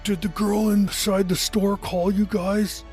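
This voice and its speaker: A man voicing a badly beaten young man in an audiobook, with slurred, halting speech as he struggles to talk through swollen lips. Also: sits high, flat delivery, darkish tone, faint music behind, pitched above the performer's own voice. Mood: pained, strained. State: struggling to speak, injured. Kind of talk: audiobook character dialogue.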